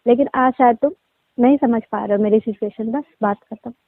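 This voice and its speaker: A woman's voice: a little high, brisk, dry recording. A young woman in a casual phone conversation, giving terse, guarded replies. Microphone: phone line. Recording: tinny, muffled phone line.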